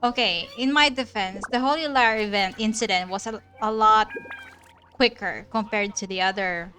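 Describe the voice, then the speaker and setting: A woman's voice: mid-range voice, moderate pace, sharp tone. A woman streamer casually commenting on a game story, chatty and laughing at the plot. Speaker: gaming streamer. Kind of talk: casual commentary over gameplay. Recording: voice over game audio and music.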